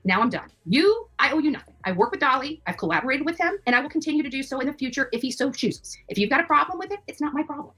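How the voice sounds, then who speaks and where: A high, bright-voiced woman delivers a scornful livestream rant from her home, jabbing at her target with sassy terms of address. Her pitch swings widely as she talks, and her combative tone never lets up. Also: slightly rough. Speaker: YouTube live streamer. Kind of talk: ranting livestream callout.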